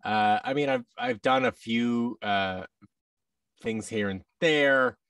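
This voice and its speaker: A man's voice: medium pitch, slow, a bit bright, smooth, no echo. American man, slightly distant dry mic. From United States. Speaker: guest, voice actor. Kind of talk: casual interview chat. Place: office room indoors, video call. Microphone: boom microphone and headset on a video call.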